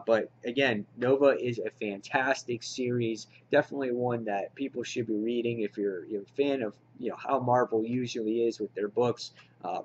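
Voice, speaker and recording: A man hosting a casual, unscripted review vlog, talking to his viewers with an enthusiastic fan's manner. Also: mid-range voice. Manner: enthusiastic fan talk, addresses viewers directly. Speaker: comic book reviewer, channel host. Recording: dull, lo-fi home recording.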